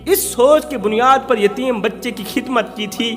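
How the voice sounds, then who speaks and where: A man's voice: very high voice, moderate pace, music in the background. A man preaching through emotional storytelling, dramatic, repeating phrases for emphasis.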